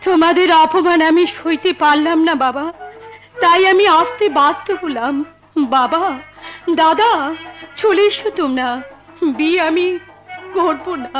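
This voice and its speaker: A proud, poor young bride in a folk theatre melodrama. Her very high voice is indignant and full of emotion, over faint music. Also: measured pace, pauses often, a bit bright. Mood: wounded, defiant.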